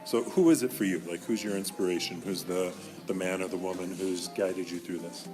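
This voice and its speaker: Low-voiced man hosting over guitar music. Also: fairly even intonation, brisk. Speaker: school podcast host and interviewer. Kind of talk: casual conversational interview.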